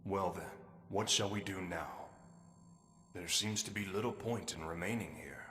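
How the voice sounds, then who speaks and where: An actor playing a stoic, analytical warrior in an anime-style game, formal and matter-of-fact. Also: male voice, deep voice, measured pace, sharp tone, a little hiss or hum. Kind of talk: English-dubbed anime-style game acting. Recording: hall reverb, music behind.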